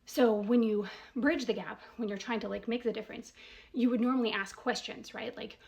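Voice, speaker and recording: A woman's voice: a little high, steady tone, brisk, creaky. A woman giving a casual spoken analysis as a commentator, reasoning step by step with emphasis, asking probing rhetorical questions and often checking in with "right?". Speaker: commentator breaking down a voicemail.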